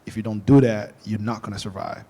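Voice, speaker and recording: A man's voice: fairly low pitch, fairly quick, husky. A young man from Angola whose first language is Portuguese, telling stories casually on a panel podcast and acting out what others said. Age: young adult. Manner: storytelling, animated quoting of others. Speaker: podcast guest.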